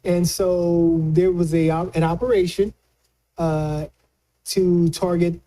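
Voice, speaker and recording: A man giving conversational political commentary as a news analyst, colloquial and halting, often repeating words as he explains. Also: on the high side, steady tone, mellow, rough recording.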